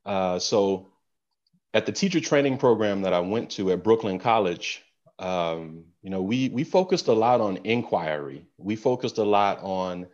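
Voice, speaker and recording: Low-voiced teacher on a dull line. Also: male voice, lively intonation, moderate pace, a little mellow. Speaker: guest teacher. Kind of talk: podcast guest interview. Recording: dull lo-fi sound.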